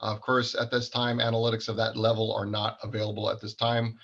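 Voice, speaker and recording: A man's voice: lowish voice, completely flat delivery, fast talker, gravelly, a bit bright, lo-fi sound. A man giving an informal product presentation in an explanatory, technical manner.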